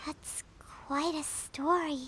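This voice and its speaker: A woman voicing a small, childlike fairy companion in an anime video game, squeaky, naive and expressive. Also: slow. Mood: puzzled.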